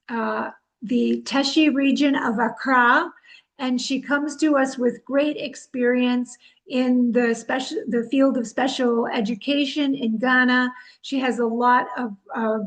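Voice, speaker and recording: Female podcast host, slightly high voice, conversational interviewer's delivery. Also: low-quality recording.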